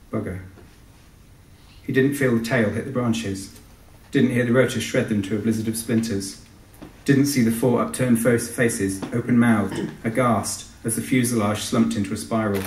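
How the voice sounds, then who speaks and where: A man's voice: mid-range voice, steady tone, normal speed, a little mellow. A man, an author giving a live reading from his novel. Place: live event in a large venue. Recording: echoey hall, distant microphone.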